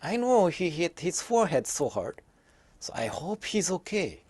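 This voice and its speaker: A man's voice: fairly high pitch, big pitch swings, slow, no echo, heavily acted. A man voicing a kind-hearted red orc. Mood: worried. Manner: pleading, calling out loudly.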